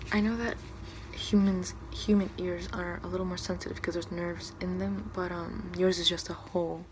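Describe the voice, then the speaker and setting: A young woman speaking softly and close up in an ASMR doctor roleplay, gentle and hushed, often slipping toward a whisper. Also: fairly low pitch, noisy background. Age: young adult. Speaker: ASMR performer playing an alien doctor. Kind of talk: ASMR roleplay, soft-spoken medical check-up. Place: small room at home, indoors.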